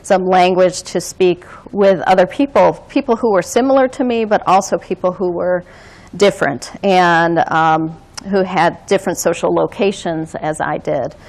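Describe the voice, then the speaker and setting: A woman who trains dialogue facilitators, speaking in a measured, reflective way with frequent pauses. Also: lowish voice, a little mellow, low-quality recording. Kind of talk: reflective conversational talk.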